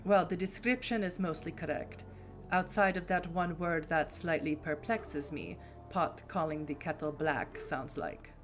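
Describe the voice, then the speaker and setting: A woman's voice: deep voice, unhurried, faint music behind. A woman putting on a formal Czech-accented noblewoman. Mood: composed. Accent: made-up Czech. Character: composed aristocratic woman from Prague.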